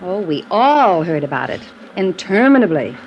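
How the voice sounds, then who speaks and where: Sharp-witted young comedy actress, sardonic, theatrical, lively intonation, medium pitch, 1950s radio play, faint background noise. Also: female voice, moderate pace, highs cut off. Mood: arch, exasperated. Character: witty, jaded comedy actress in her late twenties. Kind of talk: 1950s radio drama acting.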